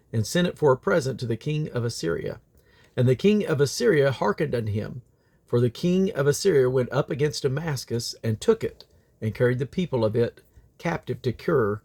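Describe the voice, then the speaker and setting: A man reading the Bible aloud in a solemn, deliberate, phrase-by-phrase delivery. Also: medium pitch, gravelly, darkish tone. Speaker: scripture reader.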